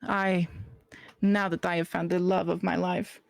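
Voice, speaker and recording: A woman voicing an ancient, courteous being from a realm of shadow, with a put-on Russian accent and formal, measured speech. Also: fairly low pitch, level tone, gravelly, no echo. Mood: courtly.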